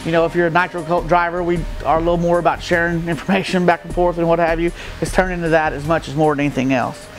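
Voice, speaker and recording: A middle-aged man from the Midwest with a fairly high voice talks in a plain, matter-of-fact way, pausing on fillers as he explains his race car. He is picked up by a camera a few feet away in an open metal shed, with faint music running quietly underneath. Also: flat delivery. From Midwestern United States. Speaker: stock car racer. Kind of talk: casual walkaround explanation. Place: open metal shed with gravel floor, outdoors nearby.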